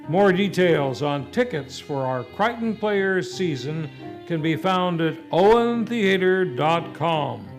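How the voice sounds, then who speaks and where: A male series host delivers a scripted vintage radio sign-off from a theater stage. His voice sits high, with formal, showy phrasing over clearly audible music. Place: theater stage, live broadcast. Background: live music.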